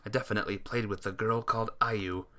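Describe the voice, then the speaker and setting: A man's voice: fairly low pitch, steady tone, fast talker, pushed and bright. A man streaming a visual novel, reading the text aloud dramatically and chatting casually with viewers.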